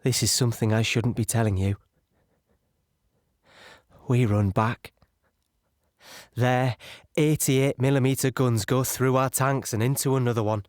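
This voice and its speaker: A man playing a weary young infantryman, recalling battle in a halting, conversational audio-play monologue. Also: mid-range voice, steady tone, darkish tone, no room sound, studio quality, natural voice. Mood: haunted, sombre.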